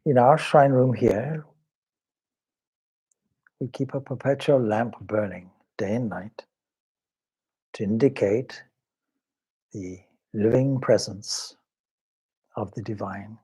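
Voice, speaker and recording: A man leading a guided meditation as a swami, speaking in a measured, solemn, devotional way with pauses between short phrases. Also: fairly low pitch, mellow, husky, rough recording.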